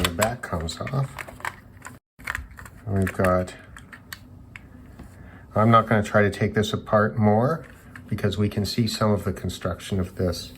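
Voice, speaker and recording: A man hosting an electronics teardown video, talking casually and unscripted, haltingly thinking aloud as he examines parts. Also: on the low side, slight background noise. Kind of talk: casual unscripted hands-on demonstration talk.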